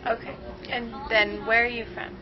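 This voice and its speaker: A woman's voice: a little high, level tone, normal speed. A woman interviewer asking short, plain questions. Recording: dull lo-fi sound with loud crowd noise.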